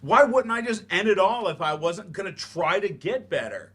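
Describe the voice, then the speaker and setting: A man plays a wounded, sarcastic teacher in a staged drama reading. His voice sits fairly high, and he is defensive and emphatic, with grief under the bitterness. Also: animated, moderate pace, fairly bright tone, breathy edge, full character voice. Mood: anguished, angry. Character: bitter, sarcastic, grieving man, ex-writer turned remedial English teacher.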